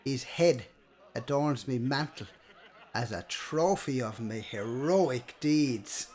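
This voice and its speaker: Grizzled, drawling old Irish braggart. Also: male voice, medium pitch, expressive, slow, darkish tone. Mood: boastful. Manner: boastful, theatrical, drunken. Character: old drunken Irish braggart farmer, desperate and wheedling. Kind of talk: radio play acting.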